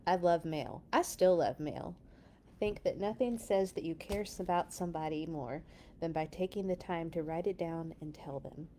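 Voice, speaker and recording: A woman reads a picture book aloud to children with plenty of expression and stops to talk to them. Her voice is mellow and gravelly, with a dark tone. A faint hiss sits behind it. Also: lowish voice. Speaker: children's story reader. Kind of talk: children's read-along storytelling.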